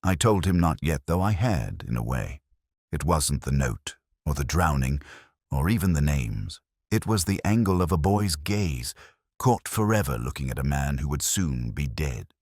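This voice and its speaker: A man narrating a scripted first-person detective story in a measured, brooding, literary manner. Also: rumbling low, animated, darkish tone, no room sound, clean studio sound.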